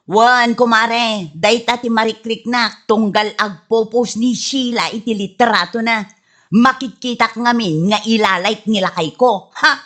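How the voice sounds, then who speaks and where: A woman's voice: on the high side, measured pace, a bit bright. A woman playing a jealous, quarrelsome middle-aged wife in an Ilocano comedy radio drama, petulant and scornful, with a Filipino Ilocano accent.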